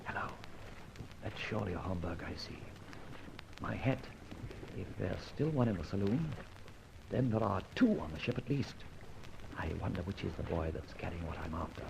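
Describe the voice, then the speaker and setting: An actor playing a breezy, wry gentleman detective in an old-time radio drama, with a British accent and old-fashioned upper-class slang. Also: low voice, lively intonation, moderate pace, gravelly, long pauses, exaggerated voice. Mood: musing. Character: jaunty gentleman adventurer detective, wry and brisk. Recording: muffled old radio recording with noise.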